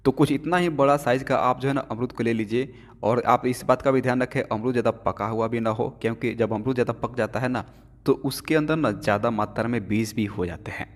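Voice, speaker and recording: A man giving a chatty home-remedy cooking demonstration in a home kitchen, often addressing viewers as friends. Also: mid-range voice. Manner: chatty, keeps saying "friends" and filler "na". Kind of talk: cooking demonstration with health tips. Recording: long reverb.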